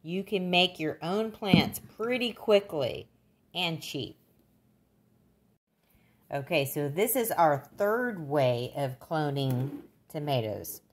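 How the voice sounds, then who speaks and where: A woman's voice: low-pitched, lively intonation, takes it slowly. An older woman giving a casual, plain-spoken how-to demonstration, recorded indoors in a kitchen. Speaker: gardening demonstrator.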